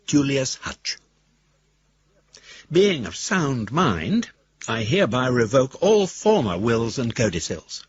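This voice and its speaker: An actor playing an eccentric older billionaire reciting his will in a wry, wordy, deliberate manner for a radio drama. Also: medium pitch, breathy edge, heavily acted. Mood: wry, bemused. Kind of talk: literary monologue in a radio drama. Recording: dry, slightly dull studio recording.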